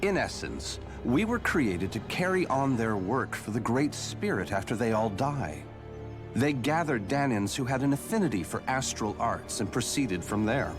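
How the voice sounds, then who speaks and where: An actor playing a calm, matter-of-fact male scientist in a video game cut-scene. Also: mid-range voice, moderate pace, lightly acted. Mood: explaining. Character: calm male scientist explaining grim history. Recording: game audio with music behind.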